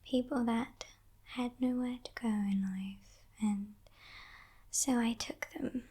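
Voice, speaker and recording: A woman playing a sly, haughty female demon kidnapper in a whispered ASMR roleplay, breathy, teasing and condescending, coaxing with soft menace. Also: on the high side, steady tone. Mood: coaxing, smug. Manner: slow, teasing, condescending, softly threatening.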